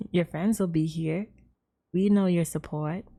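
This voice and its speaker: A woman with a slightly low, soft-edged mellow voice hosts a gaming livestream. She talks at a very relaxed pace, chatting casually and giggling often as she greets viewers. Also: a little slow. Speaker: live streamer host.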